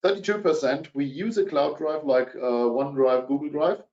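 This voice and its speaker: A man's voice: on the high side, moderate pace, a little mellow. German-accented man hosting a webinar. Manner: hesitant, many fillers. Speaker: webinar presenter. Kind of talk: presenting a business webinar. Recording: dull, roomy webinar audio.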